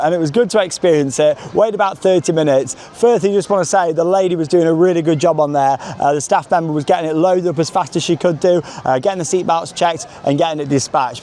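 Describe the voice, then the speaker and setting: A man's voice: on the high side, mellow. A man vlogging casually as he walks around outdoors, chatty and enthusiastic in a rambling running commentary. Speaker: theme park vlogger and host. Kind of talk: casual vlog narration to camera. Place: outdoors at a theme park, walking around.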